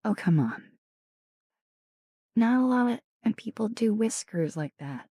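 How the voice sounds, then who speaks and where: A woman streamer chatting casually with viewers in a soft ASMR style, her voice raspy and deeper than usual, often slipping into whispers. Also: lively intonation, slow, no room sound, clean studio sound. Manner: chatty, greets and thanks viewers.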